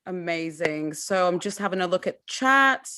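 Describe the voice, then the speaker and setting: A woman's voice: lowish voice, lively intonation, normal speed, bright, forceful tone, smooth. A woman hosting an online award ceremony as MC, upbeat and encouraging, speaking over a video call. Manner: upbeat, encouraging, addresses audience as guys. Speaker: host and MC.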